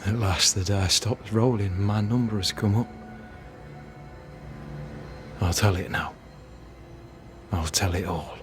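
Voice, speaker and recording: Slow, low-voiced stern captain played by a man. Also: over music, lightly acted. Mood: resigned. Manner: grave, measured, formal. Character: stern, proud older male colonial military captain. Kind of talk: dramatic video game acting.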